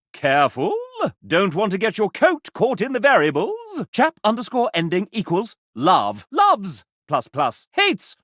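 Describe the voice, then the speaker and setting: Casting: a sassy, flamboyant video game show-host mascot. Theatrical and pompous, extremely animated pitch, savoring words slowly. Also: male voice, no echo, studio quality, heavily acted. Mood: playful, sly. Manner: theatrical, pompous, showy, flowery diction. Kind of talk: video game character voice lines, game-show hosting.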